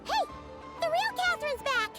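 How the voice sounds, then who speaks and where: A woman voicing a tiny, excitable, cheeky fairy girl in a video game, squeaky and childlike with exclamatory delivery. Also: normal speed, loud music behind the voice. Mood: cheerful. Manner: exclamatory, speaks of herself in third person.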